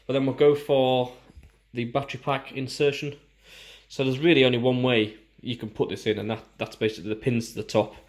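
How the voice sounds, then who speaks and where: A man's voice: medium pitch, flat delivery, fairly quick. Male, British accent, casual unboxing presenter, informal and explanatory. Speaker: product reviewer.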